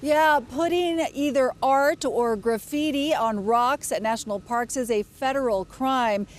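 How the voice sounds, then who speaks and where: A female TV reporter with a fairly high voice delivers a news report, with a faint vehicle rumble behind her. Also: measured pace.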